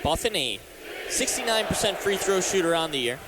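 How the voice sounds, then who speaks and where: A man's voice: high voice, sing-song, a little fast, over a noisy crowd, heavy background noise. A young American man calling a game as a radio play-by-play announcer, live from an arena. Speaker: sports play-by-play announcer and reporter. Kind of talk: live radio sports commentary.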